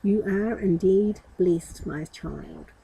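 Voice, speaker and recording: A woman voicing a kindly old male priest in a children's Bible storybook, gentle, patient and instructing. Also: medium pitch, slow, gravelly, breathy edge, heavily acted, rough recording. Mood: gentle, solemn.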